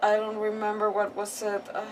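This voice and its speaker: A woman's voice: mid-range voice, monotone, brisk, far from the mic, background noise. A woman game streamer chatting casually on a livestream, scattered and exclamatory, often repeating words.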